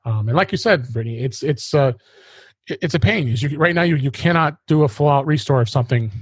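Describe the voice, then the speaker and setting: Guy hosting a tech podcast, kinda raspy, rambles and restarts a lot, dry recording. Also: fairly high pitch, fast talker, no room sound. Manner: rambling, repeats words and restarts often. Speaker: podcast host. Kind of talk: casual tech podcast chat.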